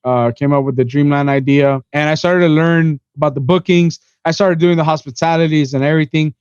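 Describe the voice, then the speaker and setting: A man's voice: on the high side, fairly even intonation, a little fast, a little mellow, clean tone, no echo, muffled recording. A man in his twenties from Miami, a podcast guest rambling through his story in casual chat, full of "you know" and "bro". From Miami, USA. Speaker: podcast guest, event organizer.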